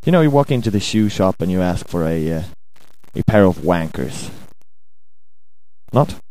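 A young Swedish man with a Swedish accent, hungover, rambling crude thoughts into a home mic in a hesitant, deadpan way. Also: low-pitched, low-quality recording. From Sweden. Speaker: amateur audio ranter. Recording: home recording with buzz and music.

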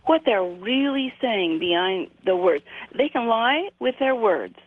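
A woman in her sixties called in as a guest, explaining her work in a measured way with frequent ums. Her voice comes through a thin, narrow phone line with a dry, close sound. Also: on the high side, animated, no echo. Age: 60s. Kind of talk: radio interview by phone.

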